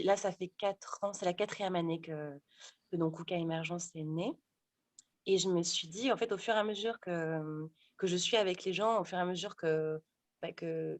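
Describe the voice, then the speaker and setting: A woman's voice: on the low side, no room sound. A woman in her thirties, a sophrologist and stage actor, talking casually in an interview with many fillers and restarts. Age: 30s.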